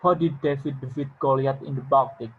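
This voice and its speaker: A young man with an Indonesian accent reading a class presentation aloud, careful and flat, restarting words he trips over. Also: mid-range voice, fairly quick, husky. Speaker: student presenter. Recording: dull lo-fi audio with faint noise.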